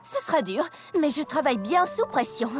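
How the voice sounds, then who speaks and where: A refined big-sister pony from a cartoon. Her voice is high and swings expressively with theatrical elegance, over a clear musical backing. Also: big pitch swings, moderate pace, music in the background. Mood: poised. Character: elegant adult lady pony, kindly dramatic big sister. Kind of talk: French cartoon dubbing.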